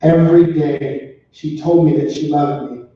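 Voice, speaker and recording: A man's voice: on the high side, unhurried. A man reading a memorial tribute aloud at a funeral service. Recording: echoey room, distant muffled mic.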